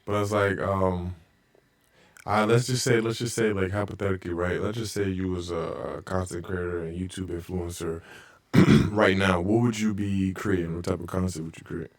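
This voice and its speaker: A man's voice: deep voice, fairly even intonation, normal speed. A man hosting a podcast, asking questions in an easygoing, slangy way. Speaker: podcast host. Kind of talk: casual interview.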